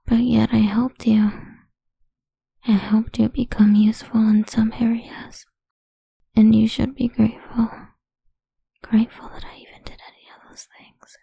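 A woman playing a domineering, condescending lover in intimate adult audio roleplay, speaking in a breathy, whispery voice with a commanding manner. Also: fairly high pitch, level tone, warm tone, no room sound, heavily acted. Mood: possessive, condescending. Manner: slow, commanding, alternately cooing and scornful.

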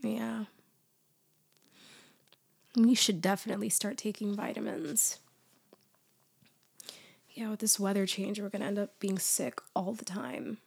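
A young woman in a soft, often whispered ASMR girlfriend roleplay, drowsy and close to the mic, her voice worn down as she loses it to a cold. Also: medium pitch, flat delivery, measured pace, vocal fry, pauses often, husky. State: losing her voice, congested.

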